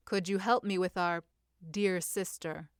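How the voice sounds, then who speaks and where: Woman as a gentle, protective young gentleman. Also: lowish voice, steady tone, measured pace, clear, resonant tone, no room sound, studio quality. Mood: concerned. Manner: soft, reassuring.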